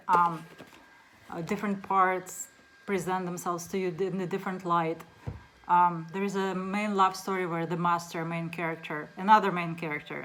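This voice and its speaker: A middle-aged woman whose first language is Russian, speaking English with a Russian accent in a casual book talk, unhurried, with many ums. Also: on the low side, slight background noise, poor audio. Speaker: book reviewer.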